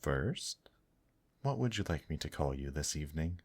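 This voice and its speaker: A man voicing a suave demon host in an intimate ASMR roleplay, courtly and gently teasing, addressing the listener with pet names. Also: a bass voice, big pitch swings, moderate pace, breathy edge, exaggerated voice. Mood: courteous, gently teasing.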